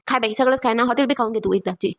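Woman voicing an impatient, rapid-fire cartoon village housewife. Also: fairly high pitch, close to an everyday voice. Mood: exasperated. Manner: complaining, colloquial. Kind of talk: Marathi comedy cartoon dialogue.

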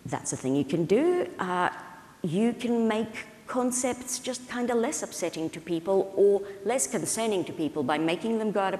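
A woman in her thirties giving an explanatory, conversational conference keynote, with halting, hesitant phrasing. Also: lowish voice, darkish tone. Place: indoor stage at a conference, at a podium. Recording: reverberant hall sound.

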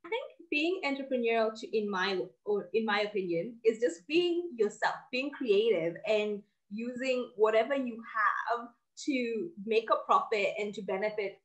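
A woman's voice: on the high side, expressive, moderate pace, few pauses, poor audio. A woman in her twenties from Kenya, a guest on a casual interview over a video call, speaking confidently and articulately, recorded on a laptop webcam at home. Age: 20s. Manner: confident, articulate, laughs easily. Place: home office with bookshelves.

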